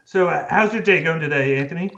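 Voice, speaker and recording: A man's voice: a little high, quick pace, gravelly, fairly bright tone, dull audio. A man hosting an interview show, asking questions in a hesitant way, full of fillers and restarts. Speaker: podcast host.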